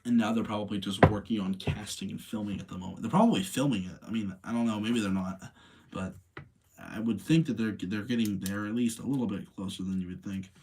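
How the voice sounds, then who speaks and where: A man hosting a livestream, talking casually in an informal, rambling, slangy way with a husky voice. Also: fairly low pitch, a little fast, background noise. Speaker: livestream host. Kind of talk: casual livestream chat and commentary.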